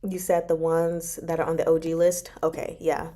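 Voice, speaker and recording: Woman doing a chatty livestream, kinda low voice, informal and expressive, drags out words for emphasis. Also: moderate pace. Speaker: host of a book club livestream. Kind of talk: casual chat, reading and answering viewer comments.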